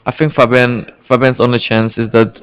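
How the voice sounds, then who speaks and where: A man with a Czech accent casually commentating live on a card game, haltingly and often repeating his words. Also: medium pitch, level tone, a bit bright, clean tone. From Czech Republic. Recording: dull, lo-fi stream audio.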